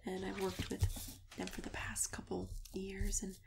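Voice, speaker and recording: A woman speaking softly and breathily, often near a whisper, in a gentle, reassuring ASMR roleplay as a friendly matchmaker. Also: mid-range voice, completely flat delivery, creaky, background noise. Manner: gentle, reassuring, unhurried customer-service patter.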